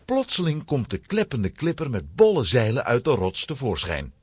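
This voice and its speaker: A man's voice: mid-range voice, huge pitch swings, moderate pace, darkish tone, breathy edge, no echo. A man narrating a scripted children's adventure story in a dramatic style.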